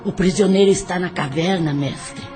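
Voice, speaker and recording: A woman playing a cruel, fawning dark spirit henchwoman with a Brazilian accent in an audio drama. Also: fairly low pitch, normal speed, lightly acted. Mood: servile, gloating. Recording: music behind the voice.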